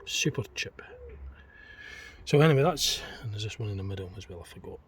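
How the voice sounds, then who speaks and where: A man thinking aloud in an unscripted hands-on demonstration, speaking in a hesitant, tentative, halting way. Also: on the low side, expressive. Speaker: hobbyist demonstrator in a homemade teardown video. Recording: distant mic, clearly noisy homemade recording.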